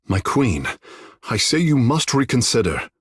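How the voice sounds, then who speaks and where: An actor playing a vain, flamboyant water-shaping artist in a video game, with a theatrical, lofty and formal way of speaking. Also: male voice, mid-range voice, sing-song, measured pace, husky, dry recording, studio quality. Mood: solemn, reverent. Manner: theatrical, lofty, formal island-noble phrasing.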